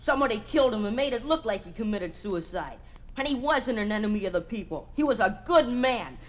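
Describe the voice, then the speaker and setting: A performer playing an earnest, distressed schoolboy scout in a 1950s radio drama, plaintive and halting. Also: mid-range voice, animated, lightly acted. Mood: defiant, earnest.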